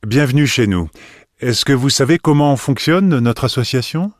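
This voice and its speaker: A man's voice: medium pitch, lively intonation, moderate pace, no room sound, clean studio sound, lightly acted. A man playing a polite, helpful everyday character in a scripted language-exam dialogue.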